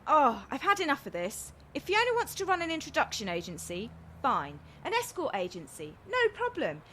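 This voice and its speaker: A woman's voice: high-pitched, wildly swooping pitch, moderate pace, fairly bright tone, a little hiss or hum, exaggerated voice. A woman playing a frank, kind-hearted young woman in a radio comedy, chatty and earnest as she explains herself. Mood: indignant, determined. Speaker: actor in a radio play. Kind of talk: naturalistic radio comedy acting.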